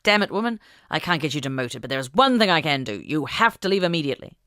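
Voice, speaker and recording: A woman's voice: low voice, very animated, fast, a bit bright, dry recording, studio quality. A woman voicing a stern, pompous prime minister in an audiobook story, scolding and threatening. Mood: angry, threatening.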